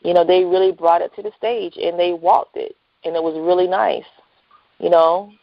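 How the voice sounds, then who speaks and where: A woman's voice: lowish voice, steady tone, moderate pace, gravelly, dry recording. A woman chatting casually as a guest in a phone interview. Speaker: interview guest, writer and entrepreneur. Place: phone call. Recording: dull lo-fi phone line.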